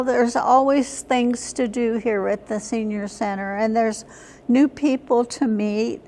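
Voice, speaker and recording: An older woman giving plain, matter-of-fact conversational answers as an interview guest, sometimes halting. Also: on the high side, slight background noise. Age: older, senior. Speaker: interview guest, senior center member.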